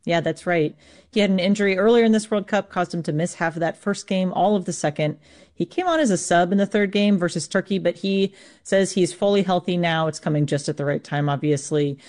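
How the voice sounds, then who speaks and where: A woman's voice: fairly low pitch, fairly quick, darkish tone. An American woman working as a sports correspondent, explaining things informally in a conversational live radio report.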